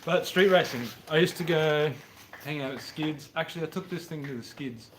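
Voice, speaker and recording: A man's voice: fairly high pitch, moderate pace, a little hiss or hum, low-quality recording. A man in his thirties with an Australian accent, chatting casually and rambling on a livestream from his car workshop. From Brisbane, Australia. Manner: laid-back, rambling, slangy. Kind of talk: casual livestream chat answering viewers. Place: home mechanic workshop, car on a hoist.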